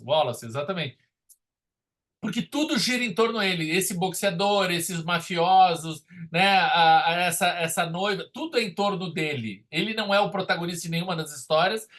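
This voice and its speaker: A man casually discussing film as a podcast host, animated and opinionated. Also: sits high.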